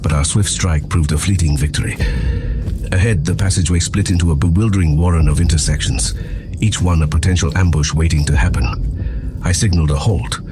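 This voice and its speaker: A man's voice: sits low, steady tone, a little fast, heavy background noise. A man giving grave, intense, theatrical first-person narration as the storyteller of an audio drama. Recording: loud music under the voice. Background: loud music.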